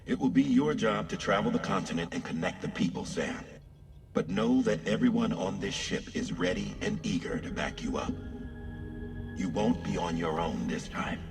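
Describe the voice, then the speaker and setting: Supportive crew leader, gravelly low voice, earnest, game cutscene with music clearly behind. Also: male voice, animated, normal speed. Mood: earnest, encouraging. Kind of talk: video game cutscene dialogue.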